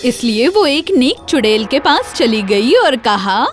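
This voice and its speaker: A woman's voice: a little high, big pitch swings. A woman storyteller reading scripted fairy-tale narration for children. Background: faint music.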